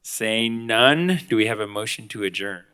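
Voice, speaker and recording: A man chairing a city board meeting, running motions and votes in a relaxed, informal way. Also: on the low side, moderate pace, smooth.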